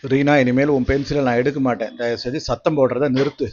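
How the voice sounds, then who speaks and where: Man voicing a patient big brother duck, medium pitch. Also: steady tone, fast, a little mellow, lo-fi sound, lightly acted. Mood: pleading. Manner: soothing, coaxing. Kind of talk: children's cartoon voice acting.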